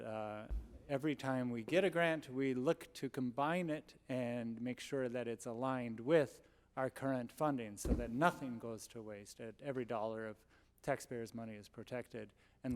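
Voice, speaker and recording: A man's voice: mid-range voice, moderate pace. Earnest man presenting in a reverberant hall. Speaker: college district presenter. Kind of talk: formal public testimony. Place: large public meeting hall.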